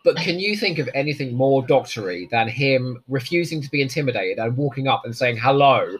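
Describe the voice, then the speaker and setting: Lively joking man, very animated pitch. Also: slight background noise, low-quality recording. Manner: lively, joking, quick asides and laughter. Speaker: podcast host. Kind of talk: casual commentary while watching an episode.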